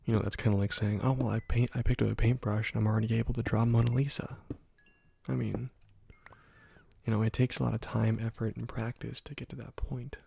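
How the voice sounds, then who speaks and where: A young man hosting an ASMR gaming video, speaking softly into a close microphone and rambling through stories with many fillers. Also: mid-range voice, level tone, brisk, gravelly, breathy edge. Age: young adult. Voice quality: whispery and soft. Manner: rambling, laid-back storytelling with many fillers. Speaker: gaming ASMR channel host. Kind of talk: soft-spoken ASMR gameplay commentary.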